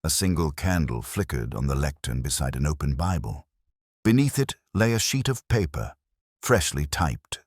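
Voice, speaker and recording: A man reading first-person detective fiction in a brooding, measured, literary manner. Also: very deep voice, big pitch swings, no room sound, studio quality. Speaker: narrator of detective mystery.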